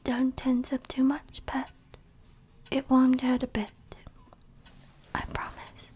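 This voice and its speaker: Woman as female vampire professor, audio roleplay, predatory, possessive, mellow breathy voice, unhurried, whispery, softly commanding. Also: high-pitched, monotone, a little hiss or hum. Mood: seductive whispering. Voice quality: breathy, often dropping to a whisper. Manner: slow, sultry, teasing, softly commanding. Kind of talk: intimate ASMR audio roleplay.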